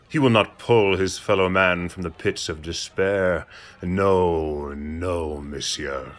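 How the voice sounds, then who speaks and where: Worldly count, low pitch, cold, deliberate, morbidly philosophical, radio play. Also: male voice, fairly bright tone. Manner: measured, formal, deliberate. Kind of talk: audio drama acting.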